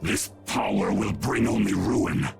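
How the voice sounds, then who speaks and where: An actor playing an ancient, imperious monster guardian in a fantasy game, speaking with slow, solemn grandeur and stern menace. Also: male voice, over loud music. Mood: cold, resolute. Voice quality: deep gravelly. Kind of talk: dubbed fantasy game voice acting.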